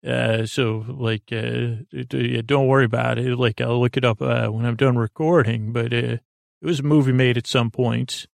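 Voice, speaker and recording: A man hosting a bedtime podcast in a gentle, reassuring, informal way, meandering and halting with many false starts, in a creaky, gravelly voice. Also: mid-range voice, fairly even intonation, no echo, studio quality. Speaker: podcast host. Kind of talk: rambling, soothing bedtime podcast monologue.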